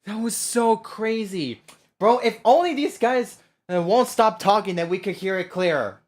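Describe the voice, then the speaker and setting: Casual slangy game streamer, animated voice. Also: mid-range voice, moderate pace. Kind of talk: casual livestream game commentary.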